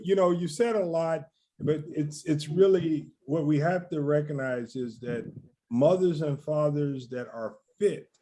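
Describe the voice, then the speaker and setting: A man's voice: a little high, dark tone, a little hiss or hum. A man hosting a talk show, welcoming guests and breaking in with questions. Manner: interjecting, questioning. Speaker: host.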